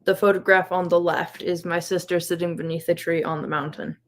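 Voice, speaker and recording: A woman reads through a prepared, reflective slide presentation on her research. Her voice is a little lower than most women's. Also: level tone, a little fast. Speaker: volunteer history investigator presenting research.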